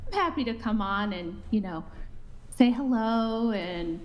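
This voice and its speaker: A woman joining a live show as the host's wife, answering questions in a calm, matter-of-fact way. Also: fairly high pitch, a little slow, highs cut off. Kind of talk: live chat.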